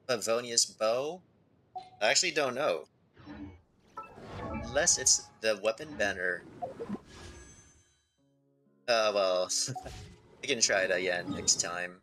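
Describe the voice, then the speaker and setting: Guy live streaming a game, lowish but really bright voice, thinks out loud, bit of music behind. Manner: thinks aloud, reads on-screen text, asks himself questions.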